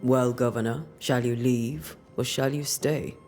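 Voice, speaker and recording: An actor playing a direct, probing ghost hunter in a period video game, terse and matter-of-fact. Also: male voice, medium pitch, steady tone, measured pace, a little mellow, soft background music, natural voice.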